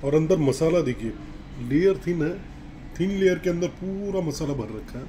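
Chatty male food vlogger, slightly high voice. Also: expressive, moderate pace. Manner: chatty, savoring food with little exclamations. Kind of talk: casual food review vlog. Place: restaurant breakfast buffet. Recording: restaurant background noise. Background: restaurant ambience.

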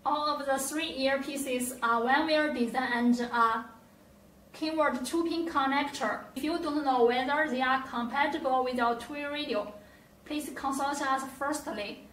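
High-pitched, slow-speaking woman in a roomy space. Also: fairly bright tone. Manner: careful, halting non-native phrasing. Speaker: product presenter. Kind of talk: scripted product demonstration. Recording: roomy echo, distant mic, faint noise.

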